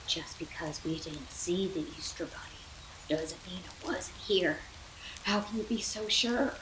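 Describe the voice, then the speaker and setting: A woman voicing an anxious, excitable male toy dinosaur in a storybook, panicky and dramatic. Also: fairly low pitch, measured pace, lightly acted. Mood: worried. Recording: roomy echo, background noise.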